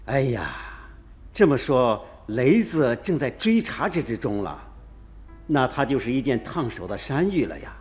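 A man's voice: medium pitch, very animated, measured pace, a little mellow, soft background music. An actor playing a cautious, shrewd male antiques dealer bargaining hard in a radio drama. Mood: wary.

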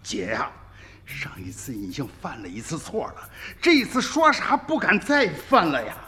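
An upright, agitated middle-aged villager with a high, forceful voice that swings widely. He is earnest and exclamatory, in a radio drama with faint music behind. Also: huge pitch swings, moderate pace, full character voice. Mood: insistent. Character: upright, agitated rural younger brother, insistent on law. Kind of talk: Chinese radio drama acting.

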